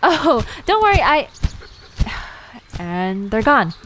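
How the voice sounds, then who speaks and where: A woman playing a curious, friendly young park visitor in an audio drama, inquisitive and expressive in a conversational way. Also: fairly high pitch, drawn-out words, slight background noise, exaggerated voice.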